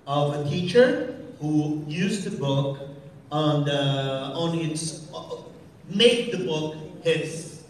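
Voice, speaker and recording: Brief: Brazilian man hosting on stage, halting and full of restarts, heard from the back of a big echoey hall. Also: a little high, distant mic, a little hiss or hum. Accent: Brazilian Portuguese. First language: Portuguese. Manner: hesitant, many restarts and fillers. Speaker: host of a teacher training event. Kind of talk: on-stage talk. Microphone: far audience camera.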